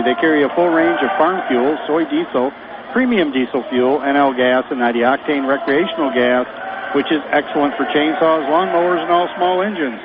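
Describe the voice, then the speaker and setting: A man with a Michigan accent reads local sponsor spots live during a high school sports broadcast, in a smooth, even delivery. His voice is slightly high. It reaches the listener as tinny phone-line audio with noticeable hiss. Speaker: color commentator. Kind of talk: reading live radio ads.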